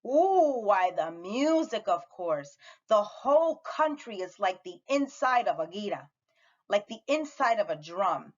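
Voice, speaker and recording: A woman's voice: mid-range voice, big pitch swings, a little slow, dull audio. A woman voicing a nostalgic neighborhood food seller from a storybook, exclaiming and savoring each memory.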